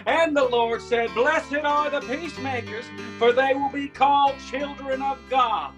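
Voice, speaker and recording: A very high-pitched male preacher, solemn and fervent. He declaims slowly, stretching his phrases like a dramatic sermon, over clear plucked guitar music. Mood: solemn, exhorting. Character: fervent preacher lamenting sin and bloodshed. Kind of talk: dramatic sermon in rhymed verse.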